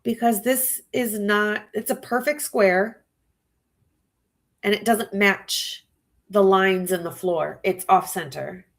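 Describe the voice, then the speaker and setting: A woman's voice: mid-range voice, steady tone, takes it slowly, creaky. A woman hosting a true crime livestream, musing aloud to her viewers with a stuffy nose. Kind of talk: casual live-stream commentary.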